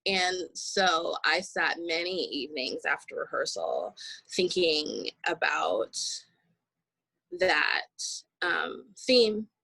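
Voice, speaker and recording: A woman actor speaking reflectively in an interview, halting and searching for her words as she goes. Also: low voice, very animated, creaky, pushed and bright, no echo, rough recording. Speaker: stage actor in an audio play.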